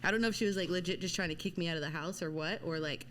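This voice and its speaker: A woman's voice: lowish voice, very fast, a bit bright. A woman from Louisiana with a mild Southern accent, chatting casually as a podcast guest and laughing often. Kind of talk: casual chat.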